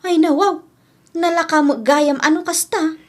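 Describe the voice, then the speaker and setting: A woman's voice: very high-pitched, steady tone, a little slow. A woman playing a chatty, nosy village woman in an Ilocano radio drama, with an Ilocano Filipino accent and an inquisitive manner. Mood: curious, friendly.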